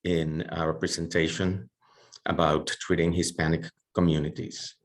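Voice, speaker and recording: Deep-voiced Puerto Rican psychiatrist. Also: male voice, little pitch movement, measured pace. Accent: Puerto Rican Spanish. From Puerto Rico. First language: Spanish. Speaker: psychiatrist and medical director. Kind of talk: presentation.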